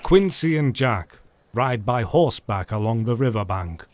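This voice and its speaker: An actor playing a wise, commanding elderly doctor who leads a vampire hunt, speaking in a measured, formal way in an audio drama. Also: male voice, medium pitch, expressive, natural voice. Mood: resolute. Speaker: party leader planning the hunt.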